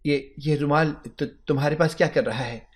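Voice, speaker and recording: A man's voice: fairly high pitch, fairly even intonation, normal speed, close to an everyday voice. A man voicing a frightened older mother-in-law in a dramatic story, stammering, repeating words and pleading. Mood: flustered.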